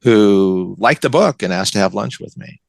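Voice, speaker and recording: A man's voice: on the low side, animated, moderate pace, vocal fry, dry recording. An American man from the West Coast, an author chatting casually as an interview guest, telling anecdotes in a conversational way. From West Coast of the United States. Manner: conversational, anecdotal, checks in with "right?" and "you know".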